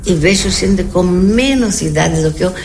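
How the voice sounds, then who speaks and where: A woman's voice: lowish voice, normal speed, a little mellow, a little hiss or hum. An elderly native Spanish-speaking woman from Argentina with an Argentine Spanish accent, reminiscing conversationally in an interview, repeating words and checking for agreement. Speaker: interview guest.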